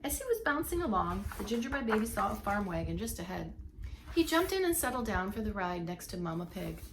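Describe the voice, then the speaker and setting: A woman with a medium-pitched voice reads a children's story aloud in a teacherly way. She stresses words expressively and speaks directly to her young listeners. Faint ambient noise sits behind her. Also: very animated, brisk. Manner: emphatic storytelling with asides to listeners. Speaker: preschool teacher reading aloud.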